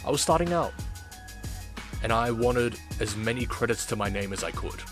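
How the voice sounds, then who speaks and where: A man narrating a reflective vlog in a deliberate way, with dramatic pauses and emphatic repetition. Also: mid-range voice, expressive, over music. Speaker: video creator, former amateur voice actor.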